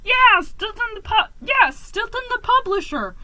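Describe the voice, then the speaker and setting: A furious, threatening storybook creature with paws, acted out by a woman. It shrieks and sputters in an extremely high voice, with clear noise and clicks behind it. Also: big pitch swings, unhurried, heavily acted. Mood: outraged. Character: angry, threatening animal with paws.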